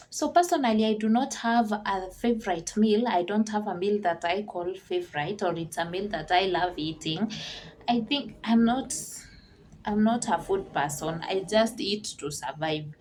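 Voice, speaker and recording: A woman's voice: medium pitch, mellow, a little hiss or hum. A young woman from Kenya, chatting earnestly as she answers viewer questions in a casual vlog, with fillers and restarts. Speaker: vlogger.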